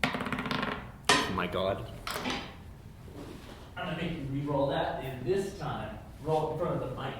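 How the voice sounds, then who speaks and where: A man narrating a casual tabletop game as game master in a halting, conversational way. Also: lively intonation, a bit bright. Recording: roomy echo, rumbling background noise.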